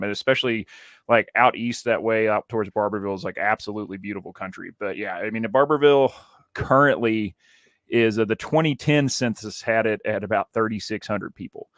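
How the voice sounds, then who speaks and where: A man's voice: fairly low pitch, dry recording. Rambling man, close dry mic. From Kentucky, USA. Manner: relaxed, rambling, swears casually. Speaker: podcast co-host. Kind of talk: casual chat.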